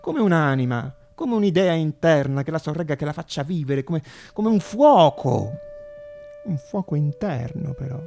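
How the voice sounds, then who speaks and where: An actor playing an earnest, anxious young student inventor in a theatrical radio monologue, intense and halting, repeating words. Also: male voice, fairly high pitch, animated, creaky, darkish tone, faint music behind. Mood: searching, fervent.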